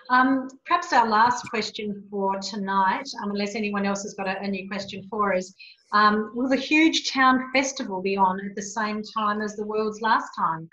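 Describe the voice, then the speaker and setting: A woman from Australia hosting a video call interview, asking questions with soft um fillers. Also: medium pitch. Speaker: host. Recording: muffled roomy video call audio.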